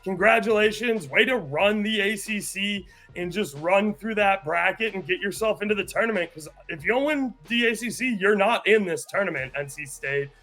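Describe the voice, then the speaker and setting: A man hosting a sports podcast, giving steady, opinionated breakdowns in casual talk with frequent fillers. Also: high-pitched, normal speed, few pauses, soft background music. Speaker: podcast host.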